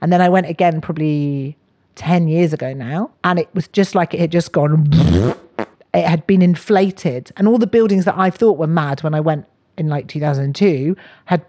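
A middle-aged woman with a slightly low voice, chatting casually as a comedy guest. She is animated and playful, often poking fun at herself. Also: normal speed. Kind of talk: casual comic interview chat.

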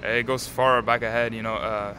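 An eighteen-year-old man from Canada, a native French speaker, answering interview questions outdoors in a calm, composed way with many fillers. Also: medium pitch, normal speed. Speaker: tennis player, interviewee. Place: outdoors at a tennis stadium. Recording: crowd noise behind.

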